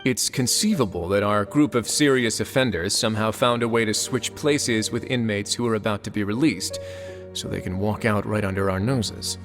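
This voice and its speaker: A calm, measured warden. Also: male voice, on the low side, faint music behind. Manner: measured, composed, dry wit. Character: calm authoritative male warden. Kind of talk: video game voice acting.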